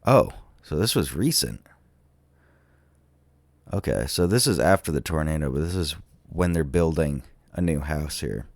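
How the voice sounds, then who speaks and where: A man with an extremely deep, gravelly voice, talking slowly and unhurriedly while he is sick with a cold. He muses out loud in a casual, hesitant way, full of ums and pauses. The sound is dry and close, with no room around it. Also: lively intonation, dry recording. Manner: hesitant and musing, many ums and trailing pauses. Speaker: vlogger touring tornado damage on a map. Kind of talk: casual thinking-aloud commentary.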